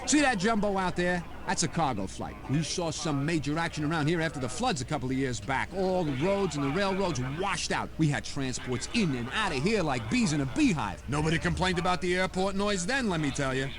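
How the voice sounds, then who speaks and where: A man's voice: fairly high pitch, expressive, brisk, hardly any pauses, a crowd in the background, lightly acted. A man playing an easygoing, seasoned air traffic controller, chatting in a folksy, dryly joking way as a tour guide in a computer game. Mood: chatty, wry. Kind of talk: scripted casual tour narration with radio calls.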